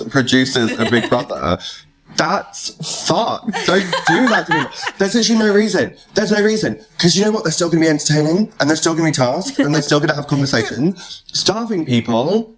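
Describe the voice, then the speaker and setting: A podcast host in casual interview mode. The delivery is animated and emphatic, at a middling pitch, with an easy, chatty flow. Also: rough recording.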